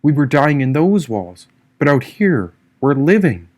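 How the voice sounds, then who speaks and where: A man's voice: fairly high pitch, sing-song, measured pace, darkish tone. A man voicing a free-spirited, teasing young woman in an audiobook, with a breezy, irreverent manner. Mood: easygoing, musing.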